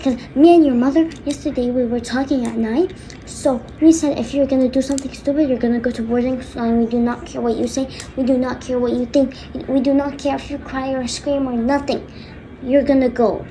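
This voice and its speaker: A woman's voice: high-pitched, a little slow, dark tone, a little hiss or hum, low-quality recording. A woman voicing a stern, angry father who scolds bluntly and emphatically in a homemade skit. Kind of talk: homemade skit role-play.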